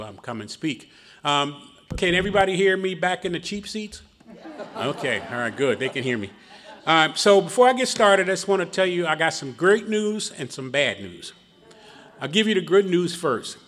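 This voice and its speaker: A man's voice: on the high side, a bit bright. Philadelphia man sharing his recovery story. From Philadelphia, Pennsylvania. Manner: folksy, self-deprecating, chuckling often. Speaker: guest speaker at a recovery meeting. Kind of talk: personal testimony speech. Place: meeting room before an audience.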